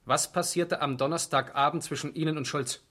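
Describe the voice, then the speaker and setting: A man's voice: on the high side, steady tone, brisk, fairly bright tone. A man acting a stern, dryly sarcastic police interrogator in a 1970s East German radio crime drama, clipped and authoritative. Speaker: actor.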